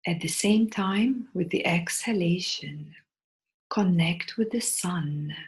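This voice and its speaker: Woman, slightly low voice, extremely unhurried pace, soft and breathy, soothing guided meditation, long pauses.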